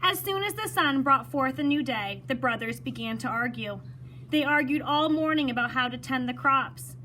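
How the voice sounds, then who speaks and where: A woman reading a children's story aloud in an expressive, teacherly manner, pausing to explain words. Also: high voice, slight background noise, poor audio.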